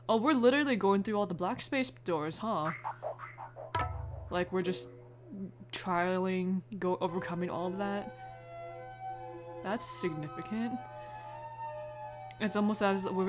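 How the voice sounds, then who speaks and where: A woman doing casual, expressive playthrough commentary as a gamer, often exclaiming as she reacts. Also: fairly low pitch, unhurried, pauses often, over music. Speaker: gamer, let's play host.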